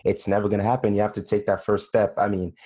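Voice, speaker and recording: A man's voice: on the low side, little pitch movement, fast, mellow. A man in his late twenties hosting a casual interview, speaking in a friendly, encouraging way. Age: 20s. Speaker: host.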